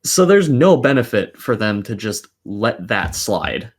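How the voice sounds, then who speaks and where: A man's voice: fairly low pitch, sing-song, unhurried. A man co-hosting a casual podcast, giving opinionated commentary in a blunt, sweary, argumentative way. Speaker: podcast co-host.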